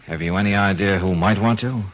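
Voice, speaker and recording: An actor playing an easygoing, probing private detective in an old-time radio mystery. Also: male voice, low-pitched, brisk, lightly acted. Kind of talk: 1940s radio drama acting. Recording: dull, noisy old radio recording.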